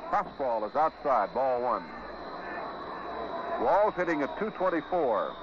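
An American man doing 1950s radio baseball play-by-play in short, measured, clipped phrases. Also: medium pitch, very animated, muffled recording. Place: ballpark radio broadcast. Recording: noisy, band-limited 1950s radio broadcast.

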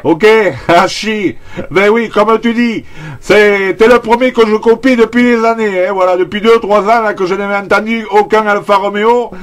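High-voiced Frenchman chatting over CB radio. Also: steady tone, normal speed. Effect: CB radio transmission. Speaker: amateur CB radio operator. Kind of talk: casual radio contact chat.

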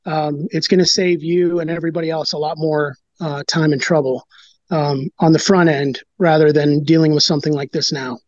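A brewer in his thirties, giving an interview over a video call and talking in a halting way with many fillers and restarts. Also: on the high side, steady tone, a little mellow, no echo. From United States. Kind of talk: casual interview talk. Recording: dry, dull lo-fi video call.

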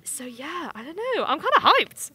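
A woman's voice: extremely high pitch, big pitch swings, normal speed, pushed and bright, dry recording. A young woman giving casual, chatty game impressions in informal, slangy, rambling phrases into a handheld microphone. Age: young adult. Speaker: games journalist giving hands-on impressions. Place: small indoor room at a games convention.

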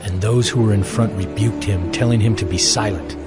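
An actor playing a solemn narrator of scripture, measured and formal, in a dramatized audio Bible. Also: male voice, on the low side, close to an everyday voice. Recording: very loud background music.

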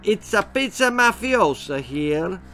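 A man's voice: high voice, very animated, slow pace, sharp tone, soft background music, pitched above the performer's own voice, heavily acted. Man doing a sing-song Italian mafioso pizza seller. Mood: genial salesman.

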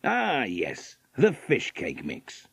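A man voicing a mild, absent-minded father who runs a fish shop, muttering slowly in a children's story. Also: medium pitch, wildly swooping pitch, vocal fry, slightly rough, no echo, clean studio sound, exaggerated voice.

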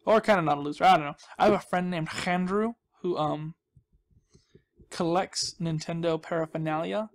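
A man's voice: a little high, steady tone, normal speed. A young man giving casual, rambling commentary as he hosts a gaming video, with a goofy, self-deprecating, mock-dramatic manner.

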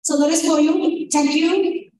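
High-voiced woman lecturer in an echoey ballroom. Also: steady tone, measured pace, mellow, far from the mic, a little hiss or hum. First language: not English. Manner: hesitant, many fillers and restarts. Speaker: conference paper presenter, lecturer. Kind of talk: academic conference presentation. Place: large conference ballroom.

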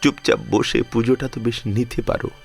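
A man's voice: mid-range voice, fairly even intonation, a little fast, creaky, soft background music, lightly acted. An actor playing an affectionate, fussing father-in-law of sixty-five in a Bengali audio drama, emphatic and repeating his words as he scolds protectively. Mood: exasperated. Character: affectionate, fussing 65-year-old father-in-law, devout household elder.

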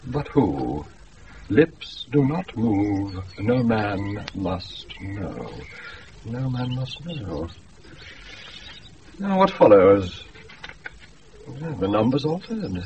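A pompous British steward, savouring every phrase slowly with big swoops of pitch. It is classic radio Shakespeare, heard on a muffled, hissy off-air recording. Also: male voice, a little mellow. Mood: eager puzzling. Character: pompous, self-infatuated male steward dreaming of nobility.

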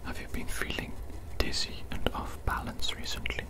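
A man speaks in a steady whisper, playing a doctor in an ASMR exam. He is close to the microphone and goes through questions and instructions slowly and methodically. Short phrases often get softly repeated. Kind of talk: whispered ASMR medical exam role-play. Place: small dark room indoors.

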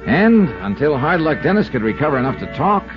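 An actor playing a brisk, wry insurance investigator who also narrates, in a 1950s radio detective drama. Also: male voice, on the high side, sing-song. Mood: wry narrating. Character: brisk, confident male insurance investigator hero. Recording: dull 1950s radio recording with music.

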